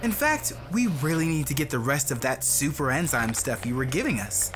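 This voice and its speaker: A man's voice: medium pitch, very animated, moderate pace, background noise, lightly acted. An actor playing a menacing, unhinged young man in an audio drama, taunting and mock-casual. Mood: taunting menace. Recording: music behind the voice.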